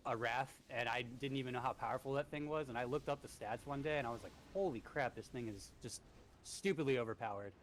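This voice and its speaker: A man's voice: medium pitch, quick pace, slight background noise, rough recording. A man in his twenties, streaming a game and leading a raid, talking in a rambling, easygoing way with lots of fillers. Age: 20s. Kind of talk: casual gaming chat and raid briefing.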